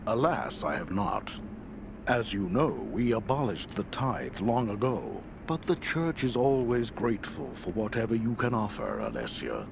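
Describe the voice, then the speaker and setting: A man playing a kindly, solemn temple priest in a fantasy video game, gravelly and courteous in a measured way. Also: lowish voice, background noise, exaggerated voice. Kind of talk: video game dialogue acting.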